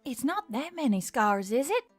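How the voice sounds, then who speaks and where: A woman acts out a brash, exasperated young adventurer in a fantasy audiobook. She is blunt and slangy, pitched up high, over faint music. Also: big pitch swings, normal speed. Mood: wry. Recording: music behind the voice.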